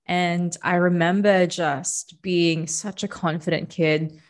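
A young woman from the Philippines, a podcast guest, telling her own story in a relaxed, reflective way with many fillers. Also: fairly low pitch, slow pace. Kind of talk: casual interview storytelling.

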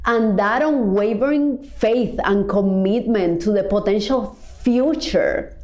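A woman's voice: medium pitch, mellow. Casting: Cuban-born woman life coach, earnest motivational podcast talk, mid-range voice, roomy echo. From Cuba. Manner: earnest, stretches key words, builds in repeated phrases. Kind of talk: reflective motivational monologue.

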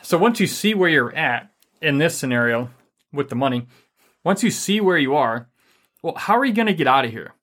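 A man co-hosting a casual faith-based money and marriage talk, energetic and rambling with many fillers. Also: on the high side, normal speed.

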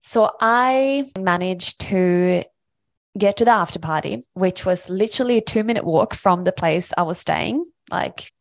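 A woman's voice: fairly low pitch, a little slow, vocal fry. A woman from Sweden hosting her own podcast, telling a personal story in an enthusiastic, motivational, chatty way. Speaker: podcast host.

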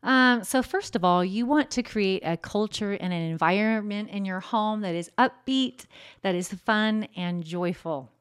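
Woman in her 50s, interview guest, earnest, smooth resonant voice. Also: mid-range voice, unhurried. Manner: warm, earnest, faith-filled. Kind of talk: casual interview. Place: home studio. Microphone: large studio microphone.

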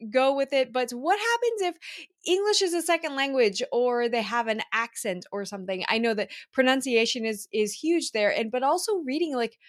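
A woman's voice: on the high side, expressive, moderate pace. A woman hosting a podcast in a casual, enthusiastic and affirming way. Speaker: podcast host, former English teacher.

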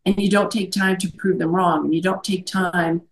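A woman's voice: on the low side, steady tone, moderate pace. A middle-aged woman who works as a life coach, talking earnestly over a video call and often checking in with "right?". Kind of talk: conversational video-call interview.

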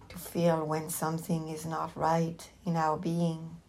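A woman with a low voice leads a guided meditation at a very slow pace. She gives gentle instructions as a teacher would, leaving long pauses between short phrases. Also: completely flat delivery, slight background noise, rough recording.

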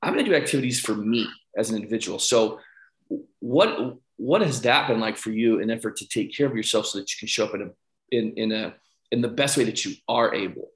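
A man with a fairly low voice hosts a conversational podcast interview. He builds his questions haltingly, repeating words as he goes. The sound is roomy, with clear echo. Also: expressive. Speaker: podcast host. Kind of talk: conversational coaching-style interview.

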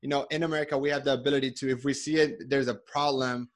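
A man from Colombia hosting an interview in an eager, energetic manner. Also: medium pitch, quick pace. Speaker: host. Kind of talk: casual interview chat.

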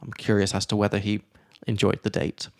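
A man hosting a casual podcast, explaining English grammar in a lively way and reading out example sentences. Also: on the low side, brisk, creaky, dry recording, studio quality. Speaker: podcast host and English teacher.

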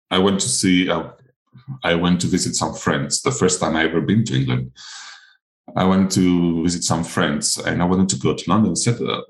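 Greek-accented man, very deep voice. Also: echoey room. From Crete, Greece. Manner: hesitant, enthusiastic, many fillers and restarts. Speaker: guest artist. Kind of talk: casual interview chat.

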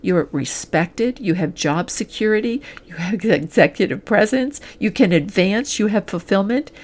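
Woman coach giving an earnest, deliberate pitch. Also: animated. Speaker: executive coach and podcast host. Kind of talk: scripted promotional pitch addressed to the listener.

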